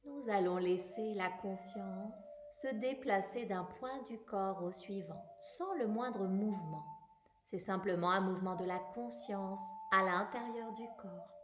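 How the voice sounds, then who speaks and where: Slow-paced woman guiding relaxation, roomy echo. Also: mid-range voice, background noise. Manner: calm, soothing, unhurried instruction with long pauses. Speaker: yoga nidra guide. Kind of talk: guided relaxation meditation. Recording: roomy echo, music bed. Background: music.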